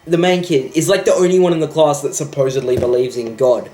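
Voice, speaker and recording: A man's voice: fairly high pitch, steady tone, fairly quick, warm tone. A young man ranting about a film in a sarcastic, profane, exasperated way. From Australia. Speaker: vlogger. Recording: echoey room.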